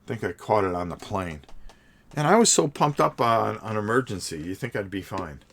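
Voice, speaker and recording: A man in middle age hosts a hobby livestream, narrating casually as he paints. His voice sits a little low and sounds sniffly from a cold. He rambles, trails off and repeats words as he answers his chat. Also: lively intonation, moderate pace, creaky, a bit bright.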